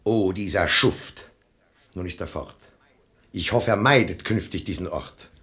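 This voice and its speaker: A man playing a sensible, easily indignant gentleman in a verse comedy radio play, formal and expressive. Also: low-pitched, measured pace.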